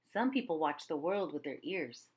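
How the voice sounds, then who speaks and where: A woman voicing a spirited, loving old grandmother in a storybook, folksy and emphatic as she gently scolds. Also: low-pitched, big pitch swings, moderate pace, slightly rough, heavily acted. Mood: brisk, wise.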